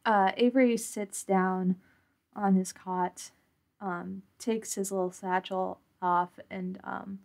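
A woman's voice: mid-range voice, little pitch movement, slow pace, creaky, a little mellow. A woman improvising narration as a player in a tabletop role-playing podcast, thinking aloud hesitantly.

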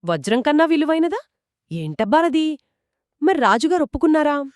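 A woman's voice: sits high, sing-song, moderate pace, a little mellow, no echo, studio quality. A woman co-hosting a children's story, asking questions and reacting with curiosity in a conversational style. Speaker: co-host listening and asking questions.

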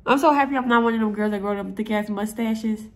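A woman's voice: medium pitch, fast talker. A young woman with a stuffy, nasal voice, chatting bluntly and profanely as she does her makeup for a vlog at home. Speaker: vlogger. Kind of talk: casual makeup tutorial vlog.

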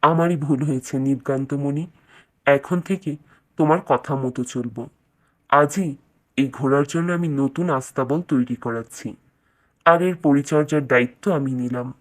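A woman's voice: rumbling low, normal speed. A woman voicing a proud male king in a children's cartoon fairytale, speaking in a regal, declamatory way. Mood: apologetic.

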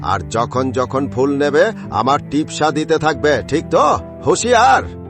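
A man's voice: a little high, animated, unhurried, fairly bright tone. A man playing a greedy, threatening head gardener in a cartoon story, scolding and bullying as he talks. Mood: sly, bullying. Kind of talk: dramatized cartoon story dialogue. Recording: music behind the voice.

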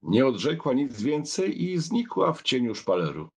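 A male audiobook narrator with a husky, gravelly voice of middle pitch. He reads literary prose expressively, in a measured storytelling manner. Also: very animated.

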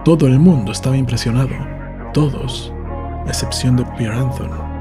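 A man reading a novel aloud as a narrator, in a measured storytelling manner. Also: medium pitch, music in the background. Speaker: audiobook narrator. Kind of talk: scripted literary narration, novel read aloud.

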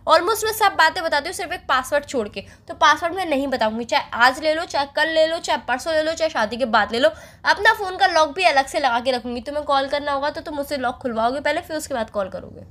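A young woman with a distinctly high voice talks casually as a girlfriend on a call. She argues playfully and stubbornly, holding her position. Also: expressive, fast talker, a bit bright. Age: young adult. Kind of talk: casual couple chat.

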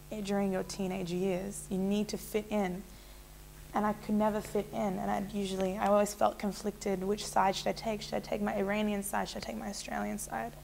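Young woman with a gravelly voice. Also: medium pitch, darkish tone, slight background noise, poor audio. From Israel. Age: young adult. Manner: casual, rambling, with many fillers and restarts. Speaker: interviewee. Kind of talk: candid personal storytelling. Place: small indoor room with a plain wall.

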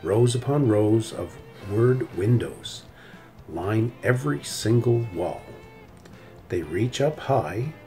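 Middle-aged man reading slowly, lively pitch. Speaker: storybook reader. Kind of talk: children's story read aloud.

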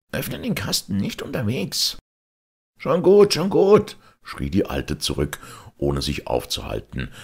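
A man narrating a fairy tale as a storyteller, theatrical and expressive. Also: very deep voice, gravelly, husky. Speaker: storyteller, audiobook reader.

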